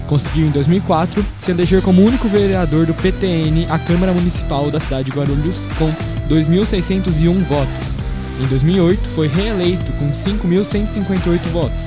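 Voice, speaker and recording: A teenage boy, hosting a student interview podcast in a formal, scripted manner. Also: on the high side. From Brazil. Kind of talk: prepared podcast introduction and interview questions.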